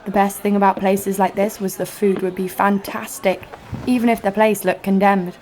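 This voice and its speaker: A woman's voice: mid-range voice, flat delivery, normal speed, darkish tone, slight background noise, lightly acted, poor audio. A woman as a brash, sarcastic female adventurer. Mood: wry narration. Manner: sardonic, cocky. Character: brash, sarcastic, foul-mouthed female adventurer narrating her exploits. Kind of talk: first-person audio drama narration and dialogue.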